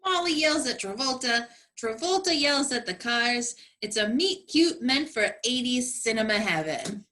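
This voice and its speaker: A woman narrating comedy with sass and theatrical emphasis. Her voice is high and bright, swooping widely through each line. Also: lively intonation, measured pace. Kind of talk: sassy comedic scripted narration.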